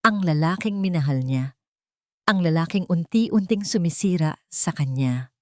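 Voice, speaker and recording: A Filipino woman narrates a dramatic audio story in a low, expressive voice. Her delivery is solemn and theatrical, recorded close and dry. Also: dry recording.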